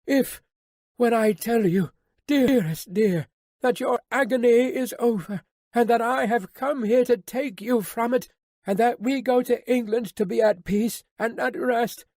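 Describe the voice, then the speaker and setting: A woman's voice: fairly high pitch, a little slow, dark tone, no room sound, voice pushed up. A woman voicing a young woman, a tender daughter, who pleads earnestly and repeats her refrains. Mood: pleading. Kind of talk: audiobook character reading.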